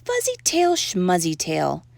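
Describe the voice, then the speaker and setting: A woman's voice: mid-range voice, wildly swooping pitch, slow, a little mellow, no echo, exaggerated voice. A woman voicing a boastful, stubborn little kitten boy from a storybook, dramatic and defiant.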